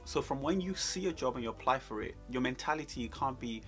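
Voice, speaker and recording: Guy coaching on job interviews, emphatic, repeats himself to make the point, average pitch, music around. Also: animated, fairly quick. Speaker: co-host giving job interview advice. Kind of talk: conversational career coaching.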